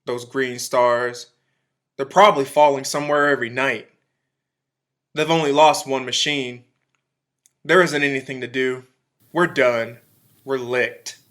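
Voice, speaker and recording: A man's voice: mid-range voice, fairly even intonation, a little slow, pauses often, a bit bright, close to an everyday voice. An actor playing an embittered, zealous militiaman who survived an alien invasion, in a radio drama, speaking in emphatic, folksy, clipped phrases. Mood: bitter, defeated.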